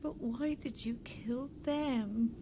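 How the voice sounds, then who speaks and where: Slow, probing reporter. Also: female voice, fairly high pitch, noisy background. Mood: accusing. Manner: measured, probing, accusing. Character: grimly probing female reporter confronting a killer. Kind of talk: audio horror drama acting.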